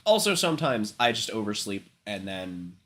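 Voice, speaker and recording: A man's voice: lowish voice, sing-song, moderate pace, a bit bright. Sick man rambling on a stream. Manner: rambling, frequent swearing and filler words. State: sick, congested and coughing. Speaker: livestream host. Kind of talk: casual chat.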